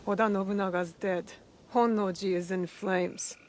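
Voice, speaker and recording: Woman as young shinobi for game cutscene: mid pitch, troubled, intense, urgent. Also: steady tone, moderate pace, a little mellow, slight background noise, close to an everyday voice. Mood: distraught, urgent. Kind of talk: dramatic video game cutscene acting.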